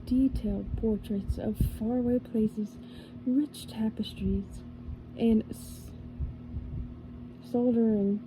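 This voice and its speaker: A woman reading a storybook aloud in a soft ASMR style, slowly and carefully, sometimes slipping into a whisper and correcting small slips as she goes. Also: a little high, noisy background. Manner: drops to a whisper at times. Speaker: ASMR reader.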